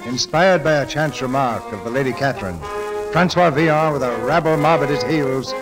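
A man's voice: medium pitch, moderate pace. A man narrating a radio play as announcer, in dramatic scripted 1930s style. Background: loud music.

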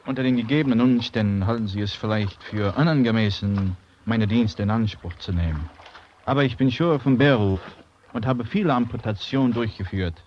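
An actor playing a grave, formal German surgeon in an old radio drama, speaking with authority. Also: male voice, on the low side, brisk, natural voice. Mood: grave, urgent. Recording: dull, faintly noisy old radio recording.

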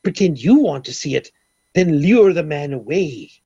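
An actor playing a pompous, eccentric millionaire obsessed with collecting eggs, with flowery theatrical delivery in old-time radio comedy style. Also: male voice, on the high side, animated, normal speed, warm tone, breathy edge. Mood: conspiratorial, dramatic. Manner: theatrical, grandiloquent, flowery formal speech. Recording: dull old radio recording.

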